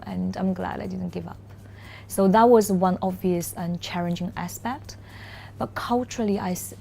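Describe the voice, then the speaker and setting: Soft, low-voiced Chinese woman lawyer. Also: a little hiss or hum. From China. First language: Mandarin. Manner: thoughtful, many "um" pauses and restarts. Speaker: corporate lawyer, interview guest. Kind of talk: relaxed interview conversation.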